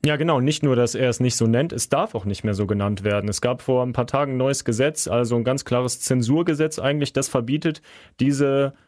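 A man co-hosting a podcast, explaining calmly in a plain, casual way. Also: medium pitch, fairly quick, no room sound, clean studio sound. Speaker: podcast co-host.

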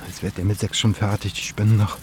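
An actor playing a tender, anxious farm man who murmurs softly to his animal in an intimate German radio play. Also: lowish voice, level tone, fast, background noise, natural voice. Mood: tender, reassuring.

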